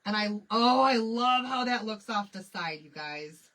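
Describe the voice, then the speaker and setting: A woman's voice: fairly high pitch, animated, a little slow, sharp tone, rough recording. A woman with a Michigan accent hosting a live crafting tutorial, chatty and laughing often as she answers viewers. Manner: chatty, laughs often, answers chat questions while working. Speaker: craft livestream host.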